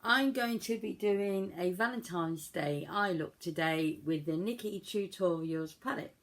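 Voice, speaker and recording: Croaky woman chatting through makeup. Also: lowish voice, measured pace. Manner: chatty, self-deprecating, talks while working. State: croaky from a cold. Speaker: beauty vlogger. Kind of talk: casual makeup tutorial chat.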